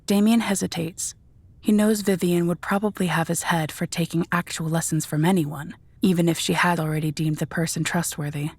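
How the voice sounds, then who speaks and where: A woman narrates a dark audio drama from a script. Her voice sits low and has a husky, breathy texture. She describes each scene in a measured storytelling style. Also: steady tone, no echo.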